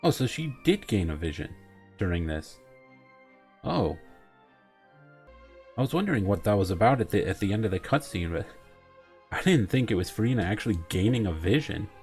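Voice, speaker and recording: A man's voice: lowish voice, very animated, moderate pace, pauses often, darkish tone, soft background music. A man giving casual commentary as he plays through a video game. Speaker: game streamer.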